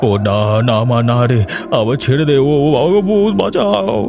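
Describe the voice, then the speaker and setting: A man's voice: on the high side, very animated, moderate pace, gravelly. A man voicing a cowardly villager in a comic cartoon, stammering and wailing in fright. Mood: panicked. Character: panicky, cowardly village men fleeing a ghost. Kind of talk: comic Bengali cartoon voice acting. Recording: background music bed.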